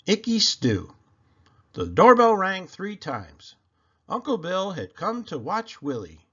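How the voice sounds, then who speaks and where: Animated male storyteller, bright and slow-paced. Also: high voice, slightly rough, muffled recording. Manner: animated, dramatic, deliberate. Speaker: read-aloud storyteller. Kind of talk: expressive children's picture-book narration.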